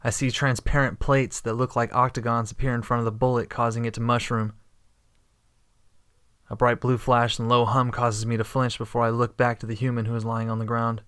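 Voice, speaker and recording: Male narrator, first-person sci-fi audio story. Steady, understated, little pitch movement. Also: fairly quick, clean tone. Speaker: narrator and protagonist.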